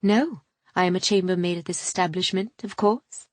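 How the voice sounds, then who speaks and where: A woman reading an audiobook, voicing a proud, tired young noblewoman who speaks in terse, quiet lines. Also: on the low side, moderate pace, dry recording, studio quality, close to an everyday voice. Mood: curt.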